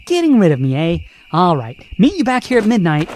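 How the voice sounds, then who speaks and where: A woman's voice: fairly low pitch, wildly swooping pitch, fairly quick, darkish tone. A woman voicing a cocky young male hedgehog hero who teases his friends. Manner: cocky, teasing.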